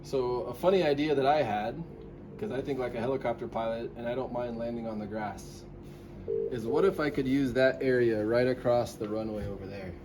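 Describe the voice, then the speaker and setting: A man with a mid-pitched voice talks casually, like a pilot laying out an idea to colleagues. Clear noise runs behind him in the location recording. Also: brisk. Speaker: helicopter pilot and instructor. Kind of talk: casual discussion. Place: airport control tower. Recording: loud background noise on location.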